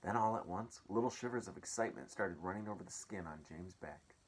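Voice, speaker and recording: A man reading a children's story aloud as an expressive storyteller. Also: deep voice, fairly quick, slightly rough.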